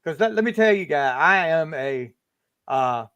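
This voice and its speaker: A fifty-year-old man hosts a casual podcast from home over a video call. His voice sits a little high, and he rambles, restarting his sentences again and again. The sound is close and dry. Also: lively intonation, measured pace, fairly bright tone, no room sound, lo-fi sound. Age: 50s. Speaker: podcast host. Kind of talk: casual chat.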